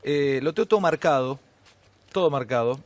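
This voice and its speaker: A middle-aged man with an Argentine accent hosting a TV interview, talking quickly and cutting in with questions. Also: fairly high pitch, lively intonation. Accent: Argentine Spanish. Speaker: TV host.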